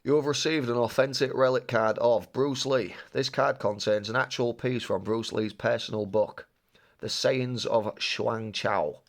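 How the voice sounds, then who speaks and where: A man's voice: mid-range voice, unhurried. Chatty man with a cold. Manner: chatty, rambling, excitable. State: slightly bunged up with a cold. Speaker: card-opening vlogger. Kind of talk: casual unboxing chat.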